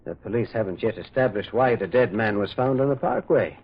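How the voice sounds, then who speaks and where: A man's voice: on the low side, expressive, a little fast, slightly rough. An actor playing a thoughtful, probing priest detective in a radio drama, hesitant and given to murmuring and repeating words as he muses. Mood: musing. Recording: muffled, phone-like old radio recording.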